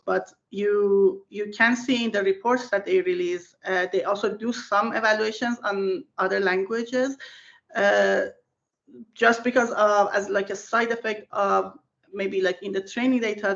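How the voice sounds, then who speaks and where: A woman's voice: mid-range voice, steady tone, moderate pace, vocal fry, a bit bright, lo-fi sound. A woman whose first language is Persian, a research scientist answering interview questions in a thoughtful way with many fillers and restarts, heard over a video call.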